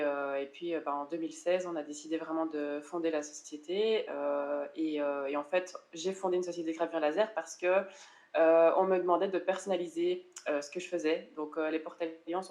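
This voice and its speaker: Chatty French woman with a low voice. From France. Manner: chatty, frequent hesitations and restarts. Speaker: interview guest, online seller coach. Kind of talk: casual conversational interview.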